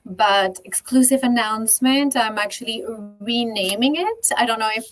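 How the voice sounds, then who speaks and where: Belgian-accented woman, bright and eager. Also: on the high side. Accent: French-speaking Belgian. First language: French. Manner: eager, halting, many fillers and restarts. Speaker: podcast guest. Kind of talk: casual interview chat.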